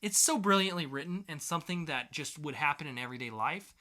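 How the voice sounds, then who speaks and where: A man's voice: a little high, expressive, fast, pushed and bright. A man co-hosting a casual comics podcast with a theatrically sarcastic, mock-grandiose delivery. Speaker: podcast co-host. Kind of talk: casual comic review chat.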